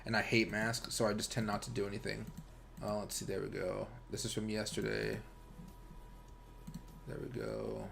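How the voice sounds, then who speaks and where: A man's voice: lowish voice, monotone, moderate pace, creaky, long pauses. An American man hosting a livestream with casual, rambling chat and commentary, speaking informally and directly to his viewers. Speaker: livestream host and crypto trader.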